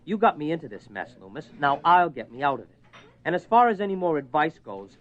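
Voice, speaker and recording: A man's voice: fairly high pitch, very animated, a little fast. A man playing an exasperated, short-tempered husband in a radio horror play, blunt and argumentative. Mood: irritated, defiant. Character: exasperated, short-tempered husband, worried about his obsessed wife. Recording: dull lo-fi radio recording.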